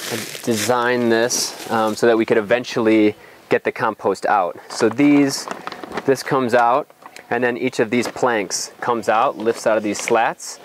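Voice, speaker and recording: A man's voice: medium pitch, a little hiss or hum. A young adult man explains things in an informal outdoor tour, thinking aloud with some hesitation, on a lapel mic. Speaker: farm guide. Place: outdoors on a farm.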